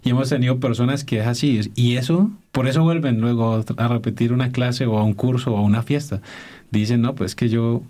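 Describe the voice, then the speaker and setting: A man, a dance teacher, answering interview questions thoughtfully, often pausing to search for words. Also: medium pitch, fairly even intonation, mellow. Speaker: dance instructor guest.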